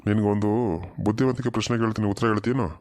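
A man's voice: on the low side, flat delivery, very fast, no echo, studio quality, close to an everyday voice. A man playing an adult who quizzes a young fellow in a comic cartoon skit. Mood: teasing, quizzing.

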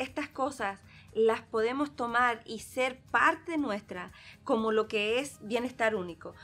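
A middle-aged woman hosting a talk show, speaking reflectively and bringing in faith. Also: high voice. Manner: warm, reflective, speaks of God. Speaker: host. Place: TV studio set, seated on armchair.

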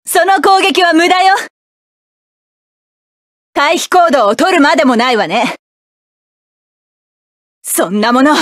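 A woman's voice: sits high, dry recording, studio quality. An actress playing a proud, cool-headed female mecha pilot who barks clipped, scornful battle lines. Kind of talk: video game battle voice lines.

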